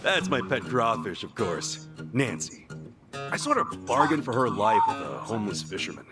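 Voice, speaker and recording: Man acting goofy, expressive street hero, big pitch swings. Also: moderate pace, music in the background, natural voice. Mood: musing, fond. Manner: expressive, casual, blunt. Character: good-natured, goofy, brash adult male street hero. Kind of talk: video game cutscene dub acting.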